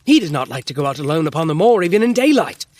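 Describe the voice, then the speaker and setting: An earnest Victorian gentleman doctor with a fairly high voice. He is excitable and formal, leaning hard on his words like a dramatic storyteller in an audio drama. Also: quick pace. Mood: troubled, insistent. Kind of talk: full-cast audio drama acting.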